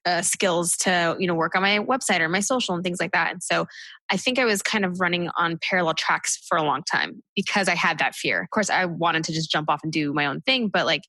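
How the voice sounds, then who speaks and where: A woman comic from the United States chats at a quick clip, her voice bright and forward. She fills her talk with "like" and "you know," and the sound is dry and close. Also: fairly low pitch, dry recording. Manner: chatty, full of "like" and "you know" fillers. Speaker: stand-up comic guest. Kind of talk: casual interview chat.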